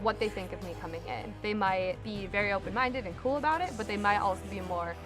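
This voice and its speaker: A woman's voice: medium pitch, fast. A young woman speaking in a contestant interview. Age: young adult. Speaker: reality show contestant. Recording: loud music bed. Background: music.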